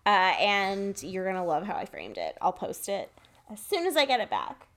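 A woman's voice: mid-range voice, huge pitch swings, moderate pace, a bit bright. A woman co-hosting a podcast in casual conversation, speaking in an informal, playful and effusive way. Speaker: podcast co-host.

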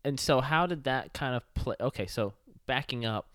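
Guy hosting a podcast, smooth clear voice, dry close mic, easygoing. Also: mid-range voice, moderate pace, no echo. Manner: relaxed, brief encouraging interjections. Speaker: podcast host. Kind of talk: casual interview hosting.

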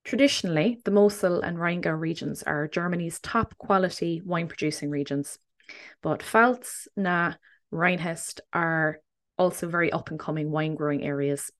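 A woman in her thirties hosts a wine podcast, chatty and bubbly, laughing easily between points. Her voice sits a little low for a woman. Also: normal speed. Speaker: podcast host. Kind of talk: casual wine podcast chat and scripted intro.

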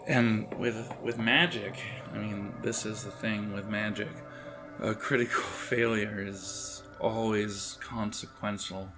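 A man improvising narration as game master of a tabletop horror game, halting as he searches for words. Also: fairly low pitch, flat delivery, bright, forceful tone, soft background music.

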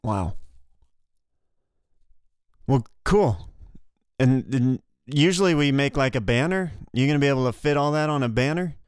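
A man's voice: mid-range voice, expressive, unhurried, pauses often, clear, resonant tone, dry recording, clean studio sound. A man co-hosting a podcast in a casual, conversational style. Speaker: podcast co-host.

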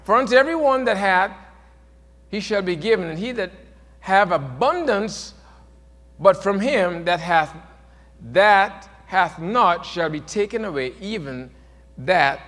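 A man preaching a sermon, moving from quiet, low passages to loud, emphatic peaks. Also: animated, slow, long pauses, in a large hall. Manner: swings from soft to shouted, rising to emphatic peaks.

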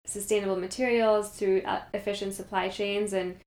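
A young woman from Kenya speaks in an earnest, storytelling way and fills her pauses with ums. Her voice stays level, with little rise and fall. The room around her is echoey. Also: medium pitch, level tone, a little mellow. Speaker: guest, company founder. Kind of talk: conversational interview. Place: meditation studio.

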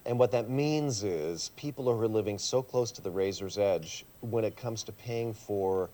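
A middle-aged man, a pastor, chatting in a casual interview in a church coffee shop, earnest when he explains and quick to laugh. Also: on the low side, mellow. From USA.

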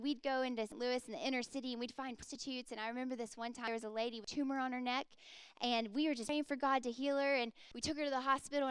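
A woman's voice: sits high, dry recording. A woman in her thirties preaching a sermon in an earnest, impassioned way. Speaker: speaker.

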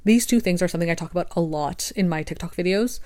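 Slightly raspy woman hosting a podcast. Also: on the low side, fast, mellow. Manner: reflective, conversational, frequent fillers. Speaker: podcast host. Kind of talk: casual solo podcast monologue.

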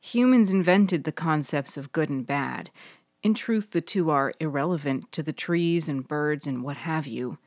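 A woman voicing an elegant, wise and unruffled woman in story dialogue, philosophical and gently lecturing. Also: low voice, measured pace, no echo, clean studio sound. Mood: calm, philosophical. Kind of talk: dramatised audiobook dialogue.

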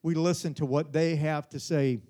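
High-voiced preaching pastor in his forties. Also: steady tone, moderate pace, mellow. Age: 40s. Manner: earnest, repeats words, frequent "Amen?". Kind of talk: Bible study sermon.

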